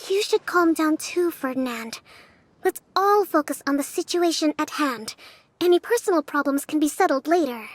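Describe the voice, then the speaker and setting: Very high-voiced, composed young leader. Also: female voice, no room sound. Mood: calm. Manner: calm, measured, diplomatic. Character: composed, tactful young woman, gentle leader. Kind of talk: animated video game voice acting.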